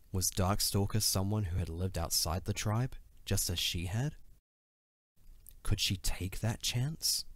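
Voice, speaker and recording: A man's voice: low-pitched, dry recording, studio quality. A man reading scripted third-person story narration as the narrator of an audio drama.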